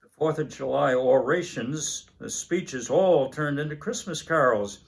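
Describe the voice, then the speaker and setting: A man in his seventies reads a children's Christmas story aloud, unhurried, with small hesitations. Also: a little high, creaky, rough recording. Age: 70s. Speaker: story reader.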